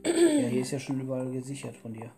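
A man's voice: medium pitch, huge pitch swings, fast talker, dark tone. A man co-hosting a gaming video in casual let's play chat. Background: prominent plucked guitar music.

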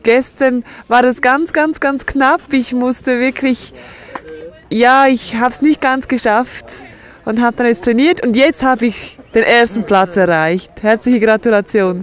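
A woman voicing a sign language sports report and interviews in a measured, steady way. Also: fairly high pitch.

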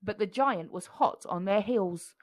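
A woman reading a children's storybook aloud with expressive narration. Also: mid-range voice, a little slow.

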